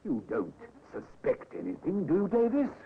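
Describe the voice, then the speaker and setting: An actor playing a courteous, hesitant family doctor, puzzled and worried, in a 1950s radio drama. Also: male voice, high voice, wildly swooping pitch, normal speed. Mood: puzzled, defensive. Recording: tinny old radio recording with hiss.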